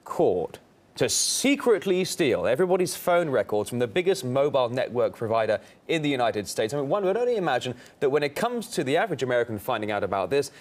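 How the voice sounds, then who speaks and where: A male news anchor with a mid-range voice presents a live bulletin. He leans on his words with dramatic emphasis as he introduces stories and puts questions to a correspondent. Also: mid-range voice.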